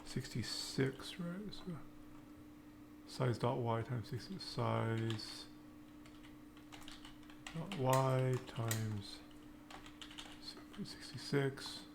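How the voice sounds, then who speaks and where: A man giving an informal live-coding tutorial, thinking aloud in halting, piecemeal phrases. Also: mid-range voice, creaky. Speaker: programming tutorial instructor. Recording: noticeable background noise, keyboard typing.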